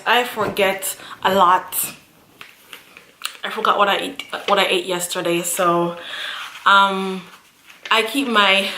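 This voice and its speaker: Bright-voiced woman chatting. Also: mid-range voice, echoey room. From Jamaica. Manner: chatty, repeats phrases, frequent laughs and fillers. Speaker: YouTube channel host. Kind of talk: casual chat to camera.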